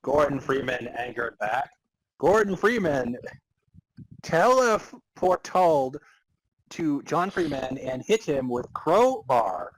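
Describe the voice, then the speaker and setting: A man's voice: mid-range voice, slight background noise, low-quality recording. A man narrating a comic story in a theatrical way, prone to breaking into laughter. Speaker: narrator and podcast host. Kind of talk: dramatic reading of comic fan fiction.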